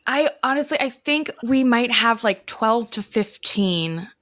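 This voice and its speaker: An American woman with a bright, crisp-edged voice talks as a podcast guest. Her storytelling is casual and personal, and the sound is dry, with very little room in it. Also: a little high, expressive, a little slow, no room sound. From United States. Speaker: podcast guest, travel guide founder.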